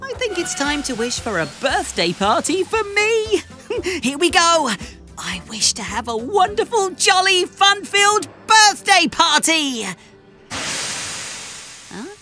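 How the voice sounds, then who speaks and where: Cheery little boy train for a kids cartoon, slow clear pace, music behind, super helpful. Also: extremely high pitch, full character voice. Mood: happy, excited, then disappointed. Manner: earnest, polite, expressive. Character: cheerful, eager, helpful young male steam engine. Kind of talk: animated children's cartoon acting.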